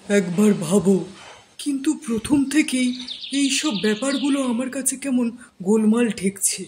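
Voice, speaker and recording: A man's voice: very high-pitched, moderate pace, a little mellow, a little hiss or hum. A man playing a suspicious, inquisitive villager in a cartoon, talking earnestly and insistently. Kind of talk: cartoon moral-story dialogue. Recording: echoey room sound with faint music.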